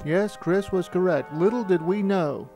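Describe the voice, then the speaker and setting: Higher-voiced middle-aged man narrating. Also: a little slow, over music. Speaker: filmmaker narrator. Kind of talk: documentary reminiscence narration.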